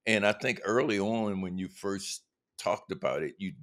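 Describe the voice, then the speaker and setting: A man hosting a conversational interview, framing his questions haltingly with repeated words. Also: deep voice, a bit bright. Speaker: interviewer, host.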